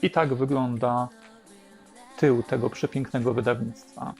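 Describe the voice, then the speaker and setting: Male host, explanatory and unhurried, mid-pitched and relaxed, over light music. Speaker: host presenting a record collection. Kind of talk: unhurried show-and-tell narration.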